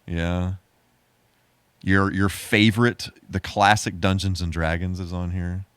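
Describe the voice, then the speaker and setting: A man's voice: low-pitched, level tone, measured pace, a bit bright, smooth, dry recording. A man co-hosting a podcast in casual, slightly hesitant and rambling conversation. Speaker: podcast co-host.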